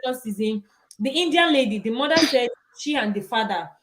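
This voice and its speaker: A woman's voice: a little high, animated, brisk, rough recording. Opinionated woman in casual chat. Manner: opinionated, conversational, says "you know" often.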